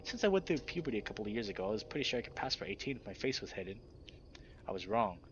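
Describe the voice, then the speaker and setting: A man's voice: lowish voice, sing-song, racing, highs cut off, a little hiss or hum. A man reading first-person visual novel narration in a dramatic, scripted storytelling style.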